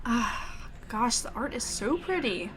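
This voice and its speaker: A woman's voice: a little high, measured pace, slight background noise, poor audio. A woman reading a horror visual novel aloud expressively, with casual asides of her own. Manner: expressive, acts out the narrator's thoughts. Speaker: host of a game playthrough, reading aloud. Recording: background music under the voice. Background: music.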